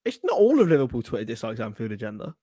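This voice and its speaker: A man's voice: medium pitch, huge pitch swings, racing, warm tone, no echo, clean studio sound. A young Englishman co-hosting a casual podcast, rambling with many restarts. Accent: non-Scouse English. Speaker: podcast co-host.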